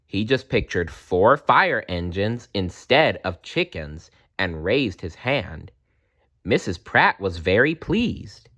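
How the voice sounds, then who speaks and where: Low-voiced man reading a children's story, unhurried. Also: very animated, pushed and bright. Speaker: storybook reader. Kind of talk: expressive children's read-aloud narration.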